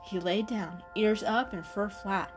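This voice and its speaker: A woman's voice: mid-range voice, unhurried, over music. A woman giving a scripted, dramatic storybook narration as the storyteller.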